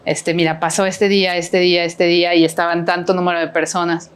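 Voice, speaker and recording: A woman's voice: on the low side, little pitch movement, a little fast, clean tone. A middle-aged woman with a Mexican accent, chatting as a podcast guest and rambling with many fillers and tag questions. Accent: Mexican Spanish. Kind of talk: casual conversational interview.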